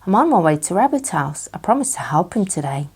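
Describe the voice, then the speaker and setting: A woman's voice: fairly low pitch, big pitch swings, brisk, a little mellow, husky, exaggerated voice. A woman reading a bedtime storybook as a small, friendly, eager male piglet.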